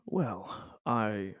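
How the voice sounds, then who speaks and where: A man's voice: fairly low pitch, smooth, dry recording, clean studio sound. A man in a tabletop roleplaying game, speaking in character with formal wording broken up by frequent hesitations. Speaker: player. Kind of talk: in-character roleplay as a colony security officer.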